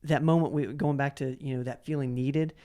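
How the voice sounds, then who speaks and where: A man's voice: mid-range voice, fairly even intonation, brisk, mellow. A man hosting a casual conversational interview, enthusiastic and rambling, often repeating his words. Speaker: podcast host and interviewer.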